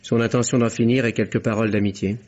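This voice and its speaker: A man's voice: fairly low pitch, little pitch movement, fast talker, a little mellow, slight background noise, close to an everyday voice. A man playing a shrewd, composed investigator in a French radio mystery drama, explaining things in an assured, matter-of-fact way. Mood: composed, explanatory. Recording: muffled lo-fi vintage radio recording.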